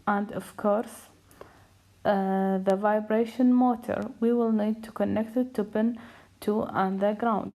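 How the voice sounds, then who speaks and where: A woman presents technical material from a script, as a student would. She speaks slowly, in a soft, mellow tone at a middling pitch. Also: fairly even intonation.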